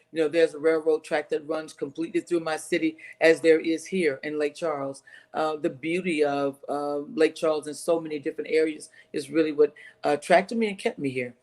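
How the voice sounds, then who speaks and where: A middle-aged woman speaks in a low register for a woman. She answers interview questions earnestly and with emphasis, circling back over her phrases on a video call. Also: darkish tone. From Texas, United States. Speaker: interview guest, city council candidate.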